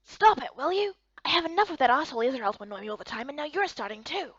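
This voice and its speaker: A woman's voice: high-pitched, animated, fast talker, fairly bright tone, no room sound, lo-fi sound. A woman voicing a blunt, touchy but protective young male companion in a fantasy game. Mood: irritated.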